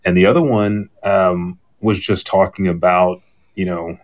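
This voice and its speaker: Man, deep voice, podcast host, casual panel chat, candid, rambling storytelling style. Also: steady tone, unhurried. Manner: rambling, candid, retells conversations in quoted dialogue.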